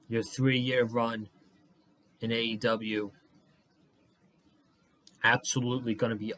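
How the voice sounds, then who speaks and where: A young man with a hoarse, worn-out voice, reviewing wrestling on his own vlog. He talks at an unhurried, drawn-out pace, rambling and swearing freely, and sounds tired. His pitch sits in the middle for a man. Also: fairly bright tone. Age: young adult. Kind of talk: casual solo review vlog.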